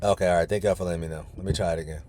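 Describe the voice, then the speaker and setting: A man's voice: deep voice, steady tone, racing, a crowd in the background. A man coaching a weight-loss group on a video call from his car, talking casually and often calling the group "y'all". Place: inside his parked car.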